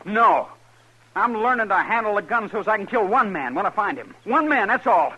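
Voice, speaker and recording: An actor playing an earnest, soft-hearted young would-be gunman with an American Western accent in a radio drama. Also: high-pitched, expressive, moderate pace. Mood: horrified, protesting. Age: young adult. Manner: earnest, rustic, polite. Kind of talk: 1950s radio western acting. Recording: muffled, hissy old radio recording.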